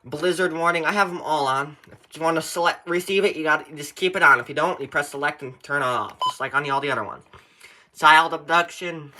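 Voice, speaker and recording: A man's voice: on the high side, fast, sharp tone, a little hiss or hum. A man giving informal step-by-step instructions in a plain, repetitive explaining manner. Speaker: tutorial presenter. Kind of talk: informal step-by-step how-to narration.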